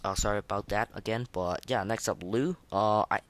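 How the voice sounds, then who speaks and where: A man's voice: lowish voice, moderate pace. A young man hosting a casual, unscripted podcast of game ratings in a hesitant, rambling, informal way. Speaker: podcast host.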